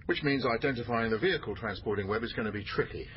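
A businesslike male detective boss, played by an actor for a radio crime drama. He speaks at middling pitch with firm authority. The sound is slightly dull with faint noise. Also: fast, lightly acted. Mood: brisk, commanding. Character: brisk male police detective boss running a murder inquiry.

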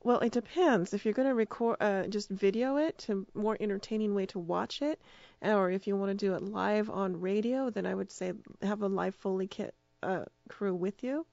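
A woman's voice: mid-range voice, moderate pace, vocal fry, darkish tone, no echo, dull audio. A woman speaking as a radio drama producer and director, giving casual answers in an interview.

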